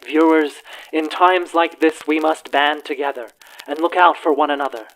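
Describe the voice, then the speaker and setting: A performer playing an earnest radio news anchor in a radio play, with formal, measured, theatrical announcer phrasing. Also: mid-range voice, fairly even intonation, natural voice, poor audio. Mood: solemn, reassuring. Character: earnest troll radio news anchor hosting an evening broadcast.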